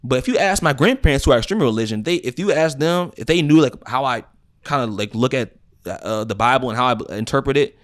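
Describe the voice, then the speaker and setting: A young American man co-hosting a podcast, talking in a casual, animated way, swearing and using lots of filler words. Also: medium pitch, quick pace. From United States. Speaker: podcast co-host. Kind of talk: casual chat.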